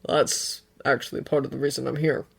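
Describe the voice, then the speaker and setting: A woman voicing a remorseful adult man who speaks softly and apologetically in a storybook reading. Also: medium pitch, little pitch movement, brisk, creaky, husky, pitched below the performer's own voice. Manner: soft, subdued, apologetic.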